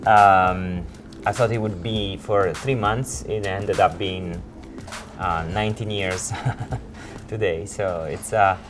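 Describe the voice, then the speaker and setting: A man in his forties from Italy with an Italian accent, a creative director recounting his career in an informal interview in hesitant, halting phrases. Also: low-pitched, steady tone, over music. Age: 40s. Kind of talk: informal interview, telling own career story.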